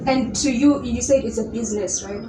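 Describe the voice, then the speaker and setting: A young woman with a slightly high voice leads an interview and asks curious, friendly questions. Her voice echoes around the room, and background noise runs underneath. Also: fairly quick. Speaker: interviewer host.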